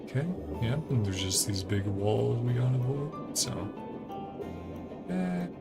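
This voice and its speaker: Male streamer, mid-pitched with vocal fry, thinking aloud in loose, casual gameplay commentary. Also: big pitch swings, measured pace. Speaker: video game streamer. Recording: game music behind the voice. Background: video game music.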